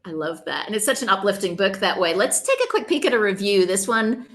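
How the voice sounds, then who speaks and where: A woman's voice: mid-range voice, animated, brisk, fairly bright tone. A woman hosting a casual author interview, effusive and full of praise as she talks about books. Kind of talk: casual book chat.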